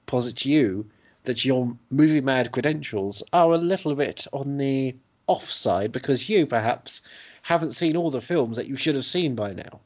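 A man's voice: medium pitch, expressive, moderate pace. A man co-hosting a podcast in casual comic banter, with playful, mock-grand phrasing. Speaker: podcast co-host.

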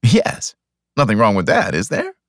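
A man's voice: lowish voice, huge pitch swings, normal speed, dry recording, studio quality. A man voicing a confident, teasing bachelor club owner in an audiobook story. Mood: confident, persuasive.

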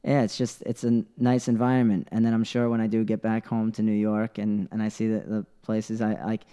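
Mellow, clear American male interviewer. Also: on the low side, level tone, fairly quick. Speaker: interviewer, podcast host. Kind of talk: casual interview chat.

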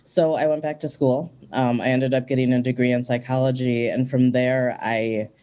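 An interview guest in their forties who grew up in Minnesota. They speak in an earnest, reflective way, stopping often on "um" as they think aloud. The voice sits at an ordinary middle pitch. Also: flat delivery, normal speed, dark tone. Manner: earnest and reflective, with frequent ums. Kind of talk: casual interview conversation.

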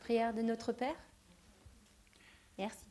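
Slow woman interpreting a sermon. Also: a little high, steady tone, warm tone. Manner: translating phrase by phrase with hesitations.

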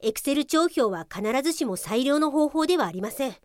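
Casting: actress as a young female tech inspector, high and lively voice, courteous wording with a cutting edge, comic drama. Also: moderate pace, no room sound, studio quality. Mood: matter-of-fact. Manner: crisply polite, sarcastic, explanatory. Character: polite but cutting young female office-software investigator. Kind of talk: comic audio drama acting.

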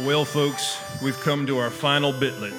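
A man acting as a folksy cowboy show host with a mid-pitched voice. He delivers silly scripted comedy deadpan, with plucked guitar music behind him. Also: normal speed, natural voice, poor audio. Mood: folksy, easygoing.

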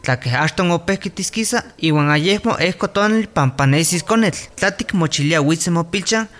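High-voiced male doctor, patiently instructing. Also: lively intonation, moderate pace, a bit bright. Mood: patient, instructive. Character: male doctor patiently advising village mothers. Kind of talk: scripted radio drama in Nahuatl.

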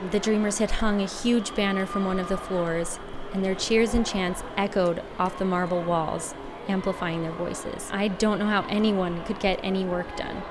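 A young woman co-hosting and reporting on a news podcast, reading a script in a clear, steady, conversational way. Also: mid-range voice, moderate pace, noisy background. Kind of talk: scripted podcast narration with banter. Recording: music bed underneath.

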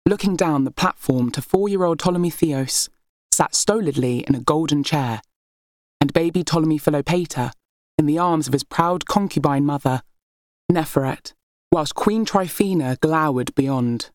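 A woman narrates a historical novel in a low-pitched voice. Her delivery is scripted, expressive storytelling, and the recording is very dry with no room sound. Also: normal speed, no room sound. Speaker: audiobook narrator.

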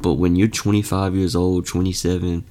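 Young man, very deep voice, earnest rambling podcast talk. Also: level tone, normal speed, warm tone, a little hiss or hum. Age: young adult. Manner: earnest, rambling with frequent fillers and restarts. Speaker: podcast host. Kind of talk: casual motivational podcast talk.

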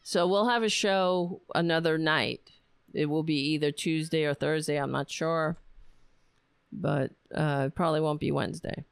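Woman podcast host, voice going hoarse, slow gravelly delivery, clear dry close mic. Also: low-pitched, fairly even intonation, no echo. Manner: rambling, tired, talks to her pet. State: losing her voice. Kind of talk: casual solo sign-off chat.

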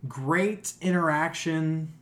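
A man's voice: fairly high pitch, takes it slowly. A man hosting a podcast in casual, opinionated chat, blunt, profane and emphatic in how he talks. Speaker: podcast host.

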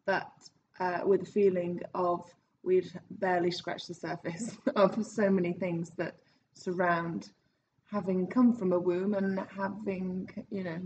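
An enthusiastic woman in her late thirties, effusive as she talks about her role. Her voice is a little low. The sound is muffled, with a faint hiss behind it. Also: level tone, unhurried, a little mellow. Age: 30s. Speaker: actor in the cast. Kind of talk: casual group interview. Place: rehearsal room.

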